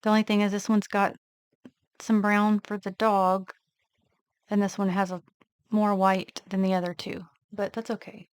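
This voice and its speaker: A woman vlogger casually narrating her nail art step by step, thinking aloud in a relaxed, unhurried way. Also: medium pitch, smooth, dry recording.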